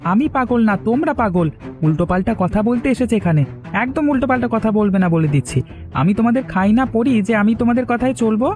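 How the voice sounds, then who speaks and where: A woman's voice: a little high, lively intonation, fast, a little mellow, faint music behind, natural voice. A woman voicing a kind-hearted but defiant village man who is thought mad, speaking bluntly in a cartoon. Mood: angry. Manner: blunt, indignant, protective. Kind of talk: cartoon voice acting.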